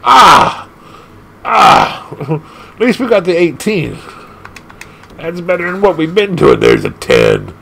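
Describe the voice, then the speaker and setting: Excitable man with a gravelly voice. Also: takes it slowly, pauses often, a little hiss or hum. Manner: excitable, laughs often, jumps between squeaky highs and low growls. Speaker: gaming channel host. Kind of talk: casual arcade gameplay commentary.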